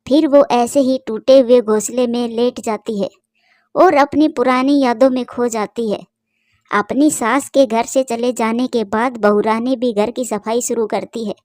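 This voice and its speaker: A woman's voice: on the high side, fairly even intonation, unhurried, darkish tone, no room sound, studio quality. A woman narrating a scripted cartoon story.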